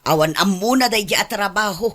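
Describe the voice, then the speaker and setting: A woman's voice: medium pitch, fairly quick, a bit bright, close to an everyday voice. A woman playing a fussy, complaining middle-aged mother-in-law in a radio drama, with an Ilocano accent. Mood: exasperated complaining. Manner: complaining, emphatic. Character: exasperated, doting older mother-in-law who does all chores.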